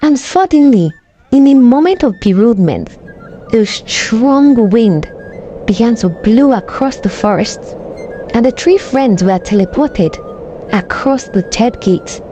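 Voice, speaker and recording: A woman narrating a scripted folktale in a dramatic, deliberate storytelling manner. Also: on the high side, animated, warm tone, lo-fi sound, slight background noise.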